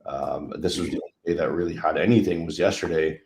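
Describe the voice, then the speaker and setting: A man's voice: very deep voice, fast talker, gravelly, darkish tone, reverberant, slight background noise. A man hosting a casual trading livestream, chatting loosely over charts with plenty of fillers. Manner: laid-back, chatty, many fillers.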